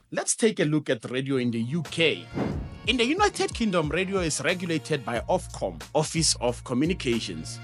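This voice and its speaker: A man's voice: a little high, a bit bright. A South African man hosting an educational show, reading a scripted explainer in an emphatic presenter's manner with conversational asides. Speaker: host of an educational show on radio broadcasting.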